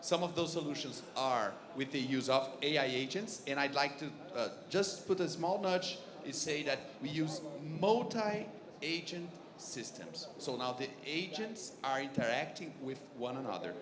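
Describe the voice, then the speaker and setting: A man's voice: medium pitch, expressive, measured pace. A man with a Brazilian accent speaking professionally as a panelist at a conference. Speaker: panelist, marketing company owner. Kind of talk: professional self-introduction on a panel. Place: stage at a conference. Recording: audible crowd in event hall.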